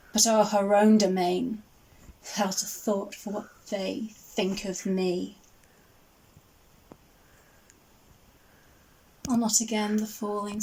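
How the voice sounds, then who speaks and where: A woman plays a regal ice queen, proud and alone. She speaks her verse slowly and solemnly, with a level, contained melody and a mid-range voice, as in a stage monologue. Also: mid-range voice, a little mellow, a little hiss or hum, lightly acted, rough recording. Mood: defiant, regal. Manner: measured, solemn, declamatory.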